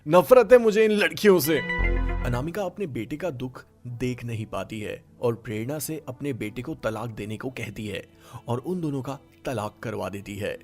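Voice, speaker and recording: A man narrates a story from a script. His voice sits at a middle pitch, with soft music playing behind it.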